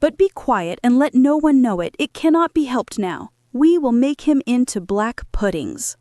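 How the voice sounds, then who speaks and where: A woman's voice: on the high side, big pitch swings, normal speed, dark tone, no echo, clean studio sound. A woman reading a storybook aloud, voicing a cold, scheming stepmother who sounds falsely sweet and then curt.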